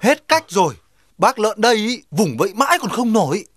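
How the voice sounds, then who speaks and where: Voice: mid-range voice, big pitch swings, normal speed, fairly bright tone, dry recording. An actor voicing a practical, talkative animal neighbour in a cartoon dub, persuading and organising the others. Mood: worried, grumbling. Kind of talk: Vietnamese children's cartoon dubbing.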